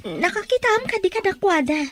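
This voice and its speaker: A woman's voice: extremely high pitch, very animated, moderate pace. A woman with an Ilocano Filipino accent voicing a sincere, dutiful young daughter and student in a radio drama. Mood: questioning.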